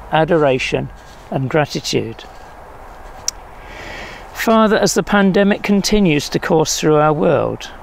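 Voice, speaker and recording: An older man solemnly reading prayers at a church service in measured phrases, recorded outdoors. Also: on the high side, gravelly, poor audio. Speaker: prayer leader. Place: outdoors in a leafy field or garden. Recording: faint outdoor background noise.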